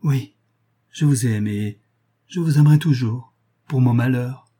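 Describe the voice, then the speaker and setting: A man's voice: mid-range voice, a little slow, mellow, husky. A man reading a novel aloud as a remorseful married noblewoman, grave, reproachful and resolute as she renounces her lover. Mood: mournful refusal.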